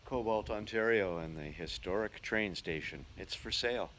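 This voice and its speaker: A man's voice: fairly low pitch, moderate pace. A man, a travelling entertainer, narrating a casual travel vlog on location. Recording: noisy ambience, vehicle sounds.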